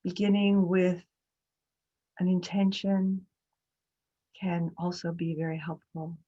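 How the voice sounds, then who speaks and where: A woman leading a guided meditation as a teacher, speaking gently and hesitantly with long pauses, on muffled online call audio. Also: fairly low pitch, fairly even intonation.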